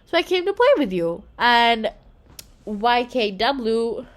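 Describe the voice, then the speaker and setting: A forthright young girl from a storybook, chatty and blunt, voiced by a woman reader. Her voice is high and swings in a lively way as she talks. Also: slow.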